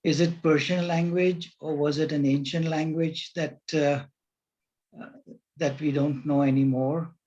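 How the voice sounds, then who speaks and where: Audience member, polite questioner, somewhat high voice, dull call line. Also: male voice, fairly even intonation, unhurried, a little mellow. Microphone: video call. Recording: dull lo-fi video call audio.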